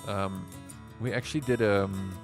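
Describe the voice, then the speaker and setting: A man's voice: on the low side, steady tone, a little slow, over music. A South African man, native Afrikaans speaker, a musician telling stories on a casual livestream in a rambling way with lots of fillers. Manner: rambling, with many fillers and restarts.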